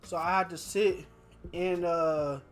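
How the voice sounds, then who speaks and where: A young man chatting casually as a podcast host, telling anecdotes in a slangy, animated way. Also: high voice, slow. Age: young adult. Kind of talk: casual chat, storytelling.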